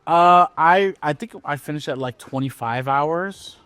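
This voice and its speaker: A man's voice: on the high side, a little slow, fairly bright tone, smooth, dry recording. A man co-hosting a podcast, talking in an easygoing, hesitant way with many fillers and restarts. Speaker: podcast co-host. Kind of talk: casual chat.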